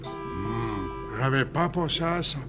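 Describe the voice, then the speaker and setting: A man voicing a naive, good-natured, slow-witted hotel underling in a cartoon, with a hesitant, simple manner. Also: mid-range voice, animated, over music. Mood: puzzled. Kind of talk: animated series dubbing.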